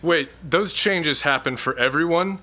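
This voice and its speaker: A man's voice: fairly high pitch, measured pace, sharp tone. A man playing a timid, awkward young man who hesitates and stammers. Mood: hesitant.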